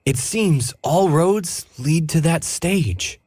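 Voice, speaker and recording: An actor playing a calm, inquisitive detective in a game, reasoning aloud in a measured way. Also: male voice, on the high side, heavy background noise. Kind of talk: game cutscene voice acting.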